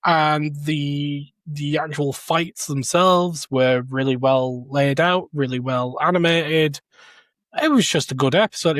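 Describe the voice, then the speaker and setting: A man's voice: on the high side, dry recording. A man co-hosting a podcast, giving his opinions in a casual, chatty way. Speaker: podcast co-host.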